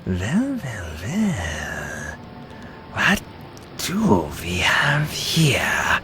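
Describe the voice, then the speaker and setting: A man's voice: low-pitched, wildly swooping pitch, drawn-out words, noisy background, full character voice. A man playing a sly, smug rogue with a teasing, theatrical delivery in a fantasy audio drama. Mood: gloating. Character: sly, taunting male rogue scheming a shakedown.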